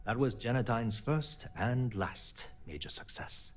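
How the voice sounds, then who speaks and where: An actor playing a frustrated, principled personnel manager in a sci-fi video game, speaking in an earnest, articulate, exasperated way. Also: male voice, medium pitch, lively intonation, measured pace. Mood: explaining. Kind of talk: scripted game dialogue.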